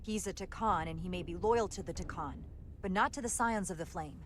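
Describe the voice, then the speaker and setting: A woman's voice: lowish voice, brisk, slight background noise, lightly acted. A woman playing a tactful starship first officer in a video game, speaking in an earnest, diplomatic way. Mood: earnest, persuasive.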